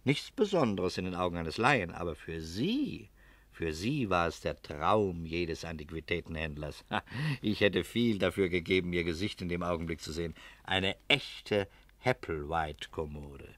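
A shrewd private detective in a sixties radio crime play. His voice is low and closely, dryly recorded. He questions people politely and deliberately, with an ironic edge. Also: expressive, a bit bright, no room sound. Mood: calmly accusing. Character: shrewd, unhurried English private detective, male. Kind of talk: 1960s German radio crime drama acting.

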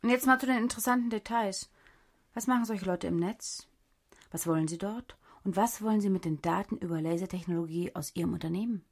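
An actress playing a brash, sharp-tongued special agent in a cyber thriller radio drama, husky and creaky, with a sarcastic, commanding manner. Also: female voice, medium pitch, a little fast, close to an everyday voice. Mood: threatening, commanding. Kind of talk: German radio drama acting.

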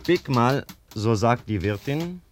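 Dutiful investigator reporting formally, middle-pitched, measured. 1950s German radio drama with clear background noise. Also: male voice, lively intonation. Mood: matter-of-fact. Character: dutiful male police investigator reading out his report. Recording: clearly noisy old radio play recording.

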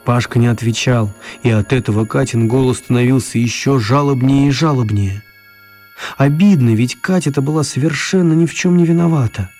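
A man's voice: mid-range voice, a little mellow, natural voice. A man acting as the narrator of a children's story, in scripted storybook narration. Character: male storyteller of a children's tale.

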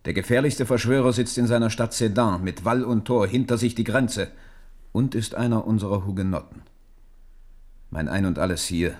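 A man acting as a hard-headed minister to a king in a radio drama. He has a low voice and presses his case bluntly and formally, with stern urgency. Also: moderate pace, a little mellow, natural voice. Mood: grave, insistent. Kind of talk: German radio play acting.

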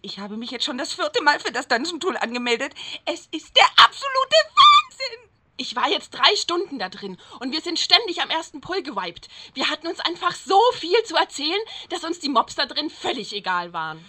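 A woman plays a gushing adventurer in a comic audio play. Her voice is high and bright, swinging wildly up and down with excitement as she talks to a reporter. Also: normal speed, heavily acted. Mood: excited. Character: excitable gushing female adventurer interviewed in the street. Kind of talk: parody audio drama acting.

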